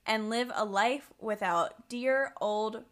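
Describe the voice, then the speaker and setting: A woman in her twenties co-hosting a casual rewatch podcast, giggly and quick to agree. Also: a little high. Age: 20s. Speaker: podcast co-host.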